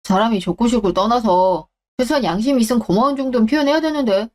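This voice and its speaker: A woman's voice: fairly high pitch, quick pace, darkish tone, close to an everyday voice. A woman voicing a smug, shameless middle-aged ex-husband in a radio drama, blustering and talking down to people. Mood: indignant, blustering. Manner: blustering, condescending, coarse. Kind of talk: Korean radio-drama story acting.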